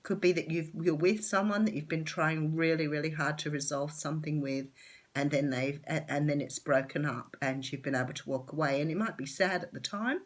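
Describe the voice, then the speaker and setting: This is a woman with an Australian accent, doing a casual tarot reading to camera. Her voice sits low, and it sounds nasal and a little rough from a cold and a sore throat. She talks in a chatty, enthusiastic way, filling pauses with plenty of ums. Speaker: tarot reader.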